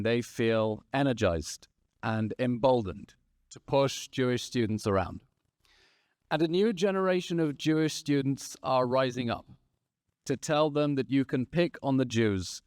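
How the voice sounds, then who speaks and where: A man's voice: mid-range voice, a little mellow, dry recording, clean studio sound. A man in his thirties moderating a conference panel on stage, with rousing, repetitive rhetorical phrasing. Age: 30s.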